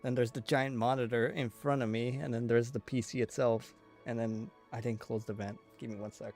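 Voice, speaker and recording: A man's voice: medium pitch, little pitch movement, brisk, darkish tone. A man livestreaming a game with casual, slangy, rambling commentary from a small bedroom. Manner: informal, slangy, rambling asides. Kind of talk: casual gameplay commentary, reading dialogue aloud. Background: game music.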